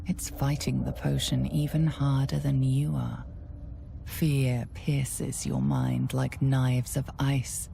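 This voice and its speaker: An actress playing a grave storyteller in a fantasy game, narrating in a hushed, deliberate, dramatic way. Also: female voice, a bass voice, little pitch movement, creaky, slight background noise, heavily acted. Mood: grave, intense. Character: grave, ominous female storyteller of a dark fantasy. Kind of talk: scripted second-person game narration.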